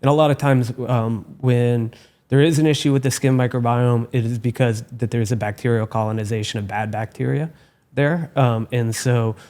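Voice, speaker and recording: A man with an average-pitched voice speaks as a guest on an interview show. He sounds earnest and measured, and he often restarts his phrases mid-sentence. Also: steady tone, a little mellow. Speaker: guest, company founder. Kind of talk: casual interview chat.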